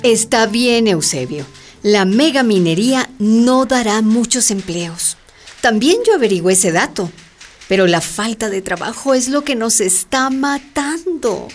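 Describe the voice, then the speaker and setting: An actress playing a pragmatic, earnest woman mayor in a radio drama. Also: fairly high pitch.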